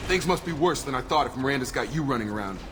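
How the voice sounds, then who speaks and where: A man acting as a brisk, friendly soldier in a video game, talking straightforwardly. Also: medium pitch, animated, lightly acted. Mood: surprised, brisk. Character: brisk, friendly male soldier under fire. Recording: loud game music and gunfire behind.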